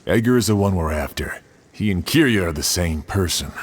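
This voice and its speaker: Low, firm level-headed man. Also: animated, fairly quick, breathy edge. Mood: firm, grave. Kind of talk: scripted game voice acting.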